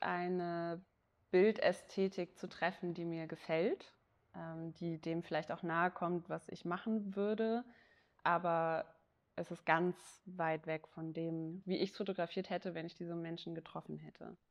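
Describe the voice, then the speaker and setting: A woman in her early thirties, an interviewee photographer, talking casually in quick lively bursts, seated indoors. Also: on the low side, dull audio. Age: 30s.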